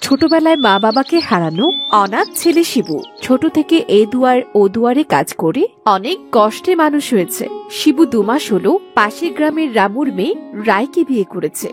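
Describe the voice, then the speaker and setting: High-pitched woman narrating a fairy tale. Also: very animated, moderate pace, faint music behind. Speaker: storyteller narrator.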